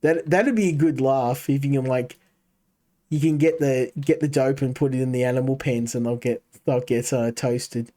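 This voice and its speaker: A man's voice: medium pitch, steady tone, moderate pace, dark tone. A man streaming a game, chatting casually and rambling while talking to his chat. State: coming down with a cold.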